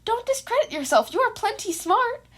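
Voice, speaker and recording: A woman's voice: very high-pitched, big pitch swings, normal speed, a bit bright, voice pushed up, exaggerated voice. A woman voicing a small, chipper male chimera principal who is reassuring and scheming, in a fan fiction audiobook. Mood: chirpy encouragement. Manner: chirpy, reassuring.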